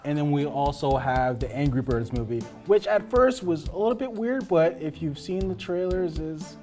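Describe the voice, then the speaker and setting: A man hosting a casual round-table discussion, animated as he introduces topics. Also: on the high side, moderate pace, soft background music. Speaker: host.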